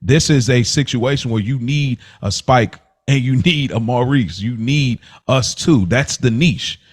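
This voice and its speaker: A man's voice: mid-range voice, measured pace, slightly rough. A man co-hosting a podcast in casual conversation, reflective and emphatic, checking that listeners follow him. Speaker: podcast co-host.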